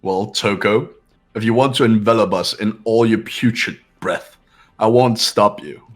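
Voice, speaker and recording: Casting: man playing a dismissive, aristocratic young man for a game, lowish formal voice, faint music behind. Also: unhurried, lightly acted. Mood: disdainful. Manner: condescending, formal. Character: haughty, cool young man, commanding and dismissive. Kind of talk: voiced visual novel game playthrough.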